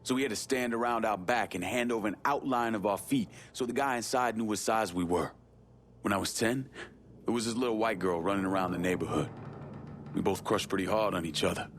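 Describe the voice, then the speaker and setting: An actor as a deep-voiced, blunt Southern war veteran. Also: male voice, a little fast, a little hiss or hum, close to an everyday voice. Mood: firm, indignant. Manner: blunt, guarded, profane. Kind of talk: naturalistic video game cutscene acting.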